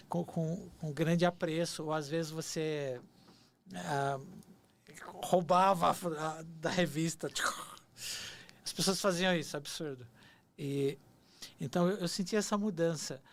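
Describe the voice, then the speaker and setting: A man's voice: a little high, steady tone, measured pace. A man in his forties, a podcast guest, telling stories in an earnest, nostalgic way, often repeating words and restarting as he speaks. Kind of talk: reflective storytelling.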